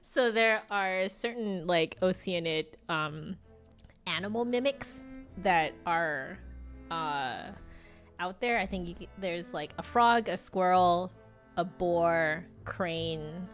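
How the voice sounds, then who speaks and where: A woman's voice: on the low side, slow. A woman game streamer giving casual, informal live commentary, reacting as she goes. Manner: informal, reactive, hesitant asides. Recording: game music behind voice. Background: video game music.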